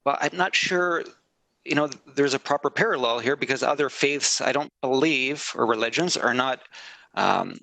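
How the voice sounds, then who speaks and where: A man's voice: medium pitch, gravelly, sharp tone, dry recording, poor audio. A man speaking as a city councillor, giving remarks in a council debate.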